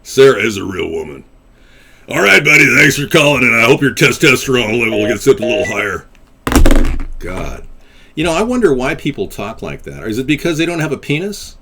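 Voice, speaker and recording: A man's voice: mid-range voice, very animated, fairly quick, noisy background. A man hosting a casual call-in web show, rambling and crude, calling people buddy. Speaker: host and presidential candidate.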